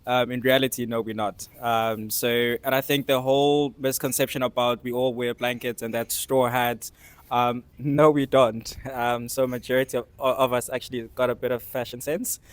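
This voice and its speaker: A man with a South African accent explaining things casually as a panellist, in hesitant, halting phrases. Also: mid-range voice, flat delivery, smooth, no room sound. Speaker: discussion panellist.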